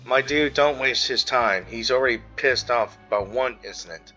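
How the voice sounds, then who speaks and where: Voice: mid-range voice, moderate pace, pushed and bright, lo-fi sound. A gamer giving casual, slangy commentary over a playthrough. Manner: slangy, informal. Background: game music.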